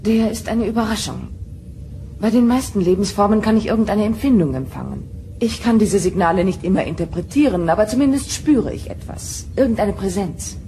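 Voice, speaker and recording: An actress playing a calm, perceptive starship counselor in an audio drama, measured and earnest. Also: female voice, medium pitch, a little hiss or hum, natural voice. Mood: calm, concerned. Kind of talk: German audio drama acting.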